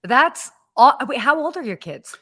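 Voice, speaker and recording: A woman's voice: sits high, sing-song, a little slow, sharp tone, no room sound, studio quality. A woman in her thirties from the United States, co-hosting a live hospitality show in a bubbly, chatty way with lots of fillers and restarts. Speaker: podcast co-host. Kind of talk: casual live-show chat.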